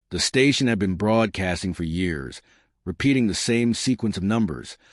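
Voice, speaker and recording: Low-voiced man narrating a horror story. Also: no echo, studio quality.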